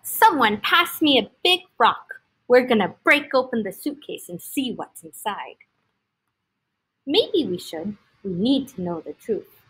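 A woman's voice: on the high side, big pitch swings, a little slow, a bit bright, breathy edge, exaggerated voice, low-quality recording. A woman voicing nosy, doubting animals in a children's storybook, blunt and questioning. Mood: suspicious.

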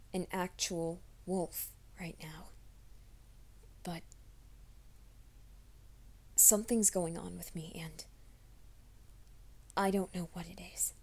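ASMR roleplay: a woman playing a young werewolf man, hushed and reassuring, slow even delivery. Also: lowish voice. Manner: soft, hushed, often whispering. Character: gentle young male werewolf, nervous and reassuring.

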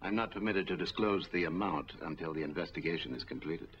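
An actor playing a middle-aged, skeptical bank officer, formal and probing, in a 1940s film drama. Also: male voice, low voice, a little fast, natural voice. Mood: formal, dry. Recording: noisy, dull 1940s film soundtrack.

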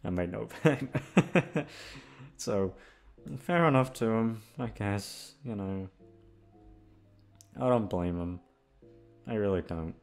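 A young man with a low voice and plenty of vocal fry rambles casually to his listeners. He is halting, profane and self-deprecating, as if unsure what to say next. Faint music plays behind him. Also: lively intonation. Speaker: audio voice actor, channel host. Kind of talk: rambling casual outro chat.

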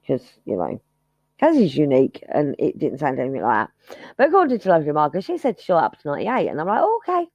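A woman's voice: low-pitched, darkish tone, dry recording, muffled recording. A woman chatting casually to her subscribers, rambling and self-mocking, with lots of restarts. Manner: chatty, self-mocking, scattered, starts with sung lines. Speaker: YouTube channel host.

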